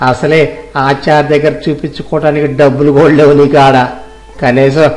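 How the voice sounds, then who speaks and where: A male actor plays a wealthy village show-off with a raised pitch and a rural Telugu way of speaking. He is condescending and boastful, mocking the poor in a moral tale. Music plays softly behind him. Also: little pitch movement, normal speed, close to an everyday voice. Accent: Telugu rural colloquial. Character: arrogant rich village man who mocks the poor. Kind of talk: moral story audio drama.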